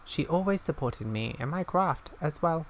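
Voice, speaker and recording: A man voices a young druid girl in a fantasy game. The read is gentle and grateful, a little hesitant, with creak in the voice. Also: on the high side, animated, normal speed. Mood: fond, grateful.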